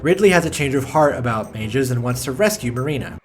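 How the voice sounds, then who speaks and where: A man's voice: medium pitch, expressive, fairly quick, a crowd in the background. A young man narrating a sarcastic film review, full of mocking asides and swearing. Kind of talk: sarcastic movie review commentary.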